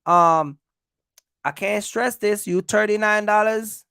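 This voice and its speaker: A man with a slightly high, gravelly voice talks casually as a livestream host. He addresses his viewers directly, repeating his points for emphasis. The sound is close and dry. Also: slow pace, pushed and bright, no echo. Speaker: host of a trucking business livestream.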